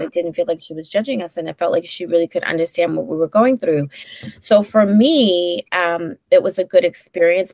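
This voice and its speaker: Low-voiced Brooklyn woman, down-to-earth. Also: no echo. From Brooklyn, New York. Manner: frank and down-to-earth, many fillers and restarts. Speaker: licensed clinical social worker, guest. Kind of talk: casual interview conversation.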